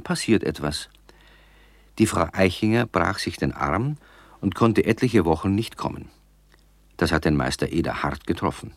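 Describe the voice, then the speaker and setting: A man narrating a scripted children's radio story in a measured storytelling manner. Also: low-pitched, no echo.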